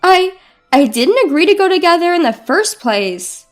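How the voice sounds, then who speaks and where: A woman's voice: very high-pitched, very animated, normal speed, soft background music, voice pushed up. A woman voicing an indignant young bride who protests incredulously in a dramatized story. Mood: alarmed protest. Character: indignant young bride standing her ground.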